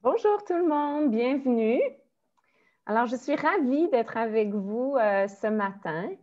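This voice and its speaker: A woman's voice: on the high side, lively intonation, slow, dull audio. A woman in her forties from Quebec, with a Quebec French accent, giving a friendly, explanatory online presentation as a primary school teacher. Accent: Quebec French with some Belgian French. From Quebec, Canada. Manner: friendly, explanatory, with frequent "euh" and small laughs.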